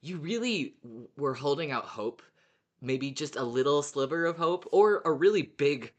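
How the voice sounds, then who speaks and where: Podcast co-host, casual fan chat, medium-pitched voice, conversational. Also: expressive, normal speed.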